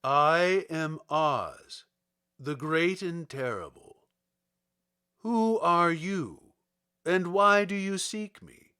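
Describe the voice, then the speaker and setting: A man's voice: a little high, animated, very slow, sharp tone, clean tone, heavily acted. A man voicing a great and terrible wizard spirit of fire in a storybook reading, solemn and commanding. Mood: solemn, imperious.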